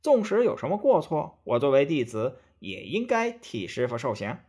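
A man's voice: fairly high pitch, big pitch swings, moderate pace, a little mellow, breathy edge. A man voicing a bold, loyal monkey hero in a storybook, protesting urgently. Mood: pleading protest.

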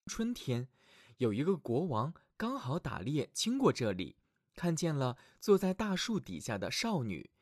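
A man tells a fairy tale as a storyteller for children. His scripted narration is dramatic and clearly phrased, with a pitch that rises and falls widely. The recording is dry and close. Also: sing-song, measured pace, a little mellow, dry recording. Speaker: children's storyteller, show host.

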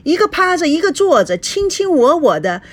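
A woman's voice: high-pitched, big pitch swings, unhurried, pitched above the performer's own voice, full character voice. A woman voicing a jealous, hot-tempered wife in an audiobook drama, sarcastic, accusing and scolding.

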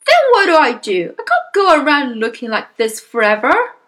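A woman voicing a vain, blustering middle-aged father in a children's audiobook, yelling with indignant panic. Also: high voice, huge pitch swings, moderate pace, pitched above the performer's own voice, exaggerated voice. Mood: panicking.

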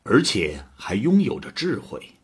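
A male actor as an earnest creator god in a radio play. His lowish voice is very husky and rough, rising and falling dramatically with theatrical conviction. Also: huge pitch swings, a little slow, heavily acted. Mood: admiring. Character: earnest creator god, proud of living things. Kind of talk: audio drama acting.

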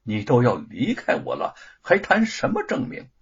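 A devoted husband who swings between passion and sly coldness, as a male narrator puts him on. The voice is gravelly and husky, with pitch ranging widely. The recording sounds dull and lo-fi. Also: huge pitch swings, moderate pace, exaggerated voice. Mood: teasing. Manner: emotional, by turns sly and cold. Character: doting husband writer, impassioned and sly.

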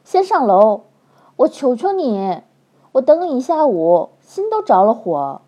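A woman's voice: fairly high pitch, sing-song, measured pace, mellow, breathy edge, exaggerated voice. A woman voicing a clingy, heavily made-up young widow who talks in a coaxing, baby-talk way.

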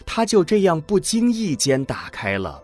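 A man narrating a scripted science fiction audio drama in a dramatic storytelling manner, landing phrase endings deliberately. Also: high voice, huge pitch swings, darkish tone. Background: music under the voice.

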